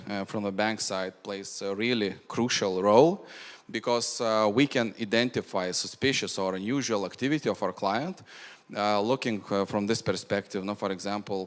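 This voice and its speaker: A man speaks as a conference presenter in a measured, explanatory way. His voice is low and smooth, and it rings through a large hall with long reverb. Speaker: conference speaker on bank fraud prevention. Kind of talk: presentation and audience Q&A.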